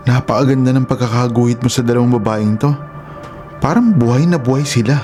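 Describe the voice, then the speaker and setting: A man's voice: medium pitch, normal speed, darkish tone, natural voice. A man playing a teenage schoolboy classmate in a radio horror drama. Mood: friendly, impressed. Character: teenage male classmate, friendly, then frightened. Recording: dramatic music underneath.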